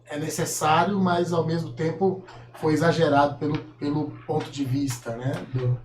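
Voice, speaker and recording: Higher-pitched Brazilian man in echoey room. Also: flat delivery, normal speed. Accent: Brazilian Portuguese. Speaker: co-host reading listener questions. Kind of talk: casual interview talk. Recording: echoey room, faint background noise.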